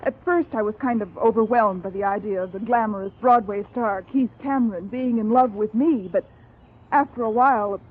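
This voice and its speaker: A woman's voice: on the high side, normal speed, natural voice. A woman playing an ambitious, defensive young actress under questioning in a 1950s radio crime drama, proud and evasive. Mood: confessing. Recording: tinny, phone-like old radio recording.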